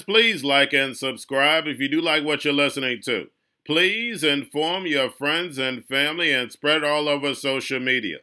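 A man with a very bright, forward voice hosting his own crypto market show. He speaks in an urgent, preachy monologue, addressing his audience as "guys" and driving his warnings home. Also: a little high. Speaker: crypto channel host.

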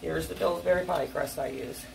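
A woman in her thirties with a strongly gravelly, fry-heavy voice chats casually while she cooks, rambling along with ums and quick apologies. She's recorded on a camera pointed down at her kitchen stove, and a clear sizzle of frying food runs under her talk. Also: deep voice, background noise. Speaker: home cooking vlogger. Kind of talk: casual cook-along chat. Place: home kitchen, cooking at the stove. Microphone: fixed camera angled down at the stove. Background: frying sizzle and stirring.

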